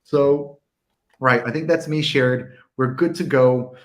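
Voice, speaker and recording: A man's voice: mid-range voice, fairly even intonation, takes it slowly. An American man in his thirties co-hosting a whisky tasting livestream, chatty and self-mocking, speaking over a video call.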